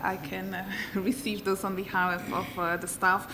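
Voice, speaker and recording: A woman's voice: mid-range voice, level tone, normal speed, noisy background. A woman moderating a conference panel, polite and guiding, with some fillers.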